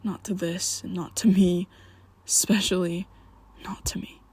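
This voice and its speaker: A man voicing a young male vampire best friend in an ASMR roleplay, whispering softly and haltingly as he confesses. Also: high voice, flat delivery, breathy edge, heavily acted. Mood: hushed confessional. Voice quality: soft close whispering.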